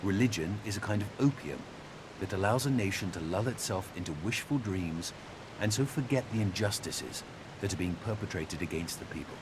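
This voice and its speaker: Low-pitched man, deliberate, earnest, articulate, game audio log, clearly noisy rumbling background. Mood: solemn, critical. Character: earnest, measured man debating belief and science. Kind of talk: naturalistic game audio log dialogue and reading. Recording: steady rumbling background noise.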